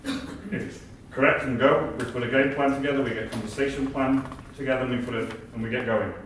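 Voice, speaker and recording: A man's voice: mid-range voice, fast, a bit bright, slight background noise. Man, sales trainer, coaching tone, very echoey hall, distant mic. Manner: coaching, acts out both sides of calls. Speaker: workshop speaker. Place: large echoey event hall.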